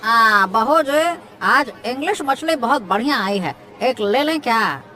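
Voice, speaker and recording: An actor voicing a friendly, excitable village fish seller, a man, in a Hindi cartoon story. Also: medium pitch, a little slow. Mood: friendly hawking.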